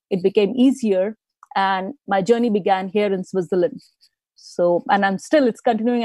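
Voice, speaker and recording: A woman's voice: mid-range voice, no room sound. A woman from India, a guest speaker telling stories about her life in a live interview, laughing often. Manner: warm, storytelling, laughs often.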